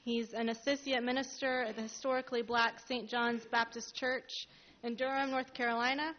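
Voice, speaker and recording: A woman with a fairly high voice introduces speakers as a panel moderator. Her delivery is semi-formal and measured, with hesitant pauses. The live event recording is somewhat dull. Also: little pitch movement, slight background noise.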